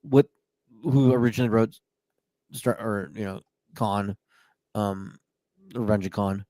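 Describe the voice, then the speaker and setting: A man's voice: fairly low pitch, measured pace, warm tone, no room sound. A man co-hosting a casual podcast, chatty and playful, reading out listener comments. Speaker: podcast co-host.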